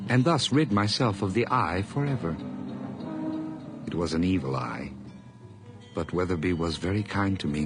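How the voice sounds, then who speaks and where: An actor playing an obsessive, unhinged farmhand in a radio drama, theatrical and deliberate, courteous in an eerie way. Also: male voice, sits low, heavily acted. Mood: brooding, menacing. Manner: theatrical, deliberate, repeats phrases intensely. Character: obsessive, unhinged farmhand narrator confessing murder, eerily courteous. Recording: music bed under the voice.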